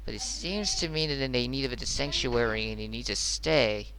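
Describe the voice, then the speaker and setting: A man playing a flustered field news reporter who stumbles haltingly through an on-scene report in an audio drama. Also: mid-range voice, creaky, smooth, rough recording. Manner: halting, stumbling over words. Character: flustered male reporter outside an overcrowded hospital. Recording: loud busy crowd noise around voice. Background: loud crowd in a public space.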